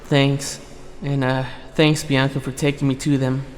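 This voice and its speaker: A man's voice: mid-range voice, little pitch movement, a little slow, lightly acted. A man playing an unsure, polite young newcomer in an audio drama, speaking in a hesitant, subdued manner. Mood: tentative, curious. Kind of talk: scripted fan audio drama acting. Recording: reverberant hall, faint noise.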